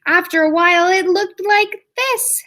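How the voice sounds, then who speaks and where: A woman reading a story aloud to young children like a teacher, expressive, with drawn-out emphasis. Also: very high voice. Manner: expressive, asks listeners questions, drawn-out emphasis.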